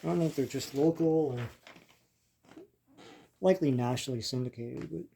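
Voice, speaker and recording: An older man with a dark, relaxed voice at a middling pitch talks through what he is showing. His delivery is halting and informal, like someone thinking aloud as he hosts a casual show-and-tell. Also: creaky, a little hiss or hum. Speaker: host leafing through vintage comic strips.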